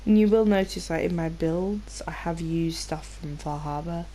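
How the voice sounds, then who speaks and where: Mellow, low-voiced British woman gamer. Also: normal speed, slight background noise. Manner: rambling, informal, sweary. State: slightly nasal from a cold. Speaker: gaming video host. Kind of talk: casual gameplay commentary.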